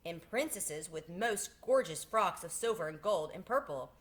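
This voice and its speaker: A woman's voice: lowish voice, animated, moderate pace. A woman reading a children's storybook aloud as a storyteller.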